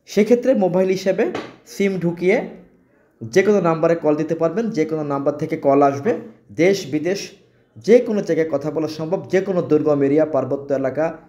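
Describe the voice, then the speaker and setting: A man with a Bangladeshi accent presenting products in an informal sales pitch, often repeating and restating his phrases. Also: a little fast, dark tone. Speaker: shop presenter selling products.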